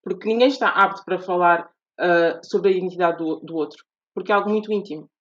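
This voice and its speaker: A woman's voice: lowish voice, fast talker. Earnest woman on a muffled line. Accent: European Portuguese. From Portugal. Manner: thoughtful, earnest explaining with restarts. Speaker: guest author. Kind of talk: podcast interview. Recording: dull lo-fi audio.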